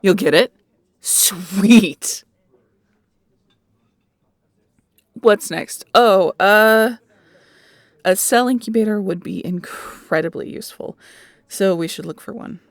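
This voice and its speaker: A woman voicing a snarky, excitable young scientist in an audio roleplay, chatty and nerdy. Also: mid-range voice, slow pace, no room sound. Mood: excited. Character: snarky, excitable young female scientist aboard a pirate ship. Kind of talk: audio roleplay acting addressed to the listener.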